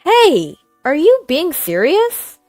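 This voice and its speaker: A woman's voice: high-pitched, huge pitch swings, slow, a little mellow, no echo, studio quality, soft background music, voice pushed up, exaggerated voice. Woman acting an earnest, devoted wife. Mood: urgent, excited. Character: devoted, pleading young wife. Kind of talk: acted dialogue in narrated story.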